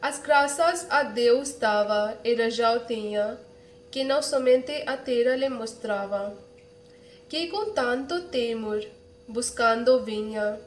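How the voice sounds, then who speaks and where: Young woman, unhurried verse reading, echoey room with slight noise. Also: fairly high pitch. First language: not Portuguese. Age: young adult. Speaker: poetry reader. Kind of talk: epic verse read aloud. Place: small room indoors.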